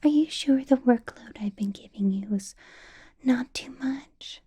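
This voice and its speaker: A woman playing a possessive, doting vampire boss in an ASMR roleplay, whispering in a breathy, teasing, intimate way. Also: sits high, mellow, full character voice. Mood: doting concern. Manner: slow, teasing, intimate personal-attention delivery.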